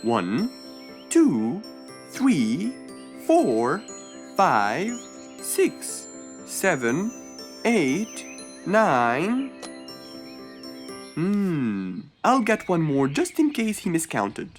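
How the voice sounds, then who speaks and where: A kindly cartoon fox, played by a woman, patient and reassuring. The speech is slow and hugely expressive, at a medium pitch, with music clearly underneath. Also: heavily acted. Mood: helpful, reassuring. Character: Mister Fox, kindly helpful cartoon fox handyman. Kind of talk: children's cartoon voice acting.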